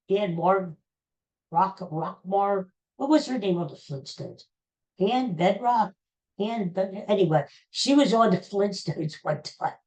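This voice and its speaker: A woman's voice: on the low side, a little mellow, rough recording. A woman in her sixties hosting a solo podcast, rambling in a chatty way and talking straight to her listeners. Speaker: podcast host. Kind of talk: casual solo podcast monologue.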